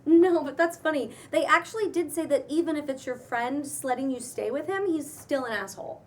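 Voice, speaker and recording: A woman's voice: high voice, brisk, close to an everyday voice. A woman playing a brash, unkempt woman in her early thirties at a script table read, blunt and slangy. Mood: dazed, blunt.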